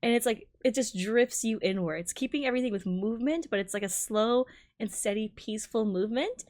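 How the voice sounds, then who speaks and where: A woman streamer giving excited, exclamatory and rambling reaction commentary on a game trailer. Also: on the high side, a little fast. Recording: music playing behind the voice. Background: game trailer music and dialogue.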